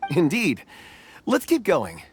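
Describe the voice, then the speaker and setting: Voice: medium pitch, huge pitch swings, slow pace, a little hiss or hum. A performer voicing an earnest, pensive male seeker in an anime-style video game, musing politely and thoughtfully.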